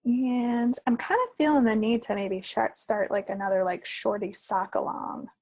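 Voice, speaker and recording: A woman's voice: mid-range voice, normal speed, darkish tone, no room sound. An American woman chatting casually as a podcast co-host. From United States. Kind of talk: casual chat.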